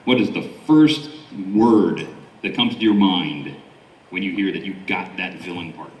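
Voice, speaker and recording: Deep-voiced man in an echoey room. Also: measured pace, a little mellow. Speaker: panelist posing questions, new voice actor. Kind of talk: casual panel moderation. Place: large indoor convention panel room. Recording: distant mic, echoey room, faint crowd.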